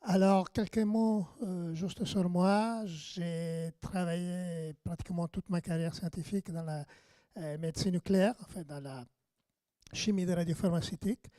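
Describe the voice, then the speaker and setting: A man, giving a hoarse, halting conference lecture on radiochemistry. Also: high voice, fairly even intonation, dark tone, no echo. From Italy. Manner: halting, many fillers and restarts. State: hoarse, lost his voice.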